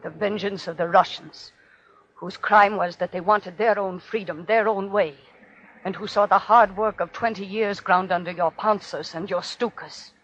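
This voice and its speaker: A woman's voice: mid-range voice, fairly even intonation, moderate pace, a bit bright, close to an everyday voice. A woman playing a defiant condemned prisoner, solemnly accusing her captor in incantatory, repetitive 1940s radio drama oratory. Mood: solemn, righteous. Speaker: actor. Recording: dull, noisy old radio recording.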